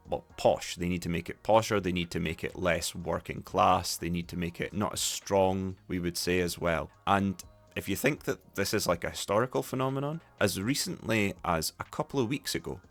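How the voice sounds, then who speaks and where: Low, gravelly Scottish man. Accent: Scottish, Broughty Ferry. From Scotland. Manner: relaxed, conversational, with frequent fillers and restarts. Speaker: podcast host. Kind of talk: podcast lesson for English learners.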